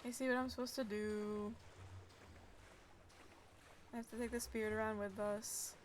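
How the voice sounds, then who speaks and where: A woman's voice: on the high side, little pitch movement, moderate pace, a little hiss or hum. A young woman giving casual live commentary as she streams a game, sarcastic and self-mocking.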